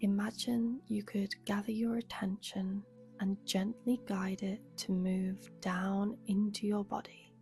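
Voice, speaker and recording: A woman leads a guided meditation in a soft, breathy voice with some gravelly fry at the edges. Her pace is very slow and her pitch barely moves, giving a soothing, steady delivery. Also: soft background music. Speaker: yoga nidra teacher, meditation guide.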